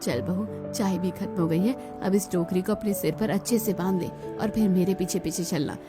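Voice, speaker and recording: A woman's voice: fairly low pitch, fairly even intonation, normal speed, close to an everyday voice. A woman voicing an older village mother-in-law, proud, practical and kindly, in a cartoon story. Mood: practical, instructing. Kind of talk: scripted cartoon story dialogue. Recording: music behind the voice.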